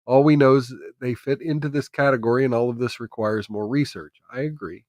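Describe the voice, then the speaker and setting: A man's voice: mid-range voice, a little fast, a little mellow, dry recording, clean studio sound. A man hosting a live stream with casual, irreverent news commentary, sometimes reading articles aloud in an informal way.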